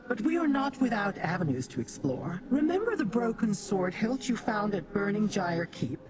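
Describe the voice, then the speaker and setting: Woman as a haughty, animated demon warrior. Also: mid-range voice, lightly acted. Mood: businesslike, commanding. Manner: formal, imperious, deliberate. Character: proud, haughty female demon warrior allied to a mortal. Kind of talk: fantasy game quest dialogue. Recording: clear background noise, game ambience.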